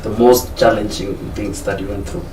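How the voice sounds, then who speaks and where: A man's voice: fairly low pitch, fairly even intonation, normal speed. A Kenyan man hosting a casual interview, asking short questions and giving frequent mm-hmms. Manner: brief prompts and frequent mm-hmms. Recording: echoey room, distant mic, noise.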